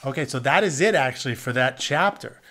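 A man's voice: medium pitch, expressive, a little fast, sharp tone, a little hiss or hum. A man in his thirties reading a story aloud in a home office, with informal asides that explain things in plain terms. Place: carpeted home office, indoors.

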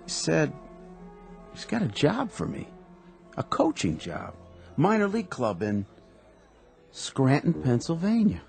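Down-and-out ex ballplayer, humble and eager, old radio play feel. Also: male voice, a little high, huge pitch swings, a little slow, vocal fry, pauses often, a little mellow, full character voice. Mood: plain. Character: washed-up male ex-pitcher, down on his luck. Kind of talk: radio drama acting. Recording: radio play with music underneath.

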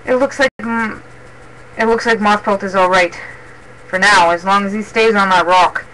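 A man's voice: sits high, steady tone, normal speed, pushed and bright, poor audio. A man voicing a loyal, worried male warrior cat in a storybook, speaking with urgent, earnest pleading. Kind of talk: dramatic storybook character reading.

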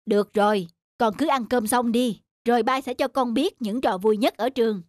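A man voicing a patient, gentle father of young boys in an animated family story, explaining and coaxing. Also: very high-pitched, moderate pace. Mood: reassuring. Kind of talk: animated family drama acting. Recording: music under the voice.

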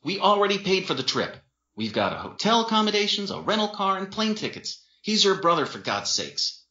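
Man acting a placating young boyfriend, raised pitch. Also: big pitch swings, brisk, sharp tone, echoey room, lo-fi sound, heavily acted. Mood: pleading. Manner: placating, reasoning, pleading. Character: muscular young man, level-headed peacemaking boyfriend.

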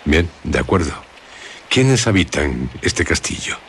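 An actor playing a grave, courteous gentleman who asks solemn, polite questions in a radio drama. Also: very deep voice, very animated, a little slow, a little hiss or hum, heavily acted. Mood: grave, curious.

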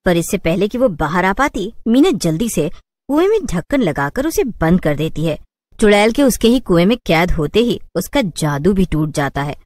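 Lively woman storyteller, lowish voice. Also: no room sound. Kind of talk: scripted narration of a children's cartoon tale.

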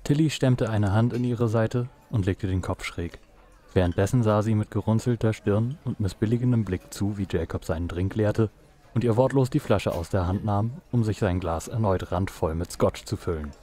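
Erzähler mit eher tiefer Männerstimme. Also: male voice, fairly low pitch, moderate pace, dark tone. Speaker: narrator. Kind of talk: scripted noir audio drama narration.

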